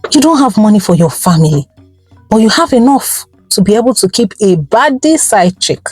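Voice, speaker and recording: A woman voicing a betrayed Nigerian wife, quietly scornful and calculating, with a controlled, dry delivery. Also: medium pitch, big pitch swings, moderate pace, slightly rough, no echo, faint music behind.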